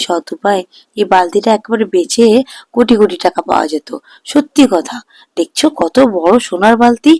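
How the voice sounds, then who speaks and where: Actress as greedy cartoon village wife, scheming, nagging, coaxing, scornful, medium pitch, dry close sound. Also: female voice, darkish tone, no echo, lightly acted. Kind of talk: Bengali fairy-tale cartoon voice acting.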